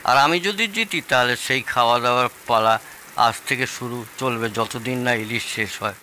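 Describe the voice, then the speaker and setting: A man's voice: mid-range voice, a little fast, bright, forceful tone, slight background noise, lightly acted, rough recording. An actor playing a genial, proud older father-in-law, teasing and telling stories in an audio drama. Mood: teasing, assured. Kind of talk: Bengali audio drama dialogue.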